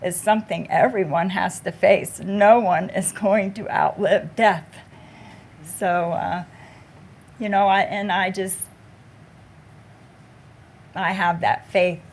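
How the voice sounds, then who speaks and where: A woman on a panel talking about her own cancer treatment, slow and halting, with many fillers. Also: mid-range voice, fairly even intonation, slight background noise. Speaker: patient panelist. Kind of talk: panel discussion, personal story.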